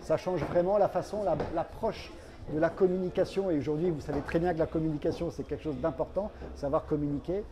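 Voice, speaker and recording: A man speaking as a company representative in an interview, explaining products in a halting way and repeating words. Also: fairly high pitch, fairly even intonation, background noise.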